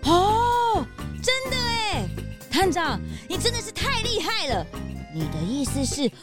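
A woman voicing a small, excitable, whiny detective's sidekick in a children's audio drama, with dramatic drawn-out exclamations. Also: extremely high pitch, loud music behind the voice, full character voice. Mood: curious, amazed.

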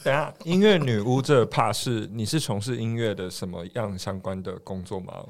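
A man's voice: lowish voice, normal speed. A man with a Taiwanese accent co-hosting a podcast in casual interview chat. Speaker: podcast co-host.

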